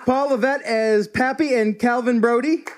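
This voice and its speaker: A very high-voiced man delivers an announcer's closing credits at live radio theatre. A clearly audible audience and venue noise sit behind him. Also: steady tone, normal speed, some chatter behind. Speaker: cast member reading closing credits.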